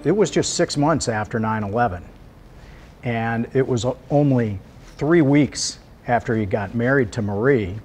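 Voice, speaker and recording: A middle-aged man giving measured recollections in a documentary interview. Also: medium pitch. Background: soft music.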